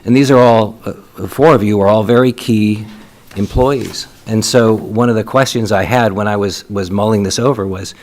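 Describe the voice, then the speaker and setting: A man chairing a city council meeting, speaking in a halting way with frequent restarts and fillers as he runs votes and introduces items. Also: lowish voice, steady tone, a little mellow.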